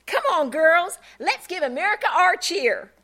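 A woman's voice: very high voice, expressive, unhurried, pushed and bright, pitched above the performer's own voice, full character voice. A woman voicing a giddy young sorority girl with a Southern drawl who speaks proudly for her group. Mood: chirpy. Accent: put-on Southern US drawl. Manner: chirpy, proud, self-important.

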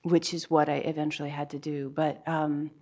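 Low-voiced reflective woman. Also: level tone, moderate pace, darkish tone, lo-fi sound. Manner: hesitant, reflective, many fillers. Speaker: writer on a panel. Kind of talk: panel talk.

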